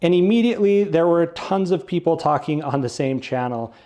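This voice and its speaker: A man with a fairly high-set voice and a mellow, relaxed tone reviews gear. He explains in simple, non-technical terms, in the casual style of a product walkthrough. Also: a little fast.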